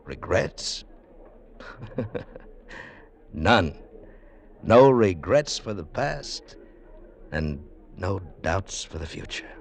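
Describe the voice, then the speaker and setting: A man's voice: low voice, sing-song, very slow, vocal fry, long pauses, exaggerated voice. An actor playing a worldly, unsentimental male adventurer in a 1940s radio drama. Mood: wry, resolved. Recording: noisy old 1940s radio transcription.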